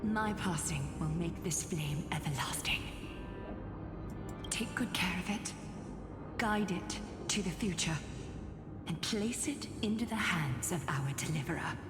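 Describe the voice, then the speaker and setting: A woman voicing a serene dying female leader in a game cutscene, gentle, breathy and whispery. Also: lowish voice, animated. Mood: tender, fading. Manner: slow, gentle. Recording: echoing game audio under music.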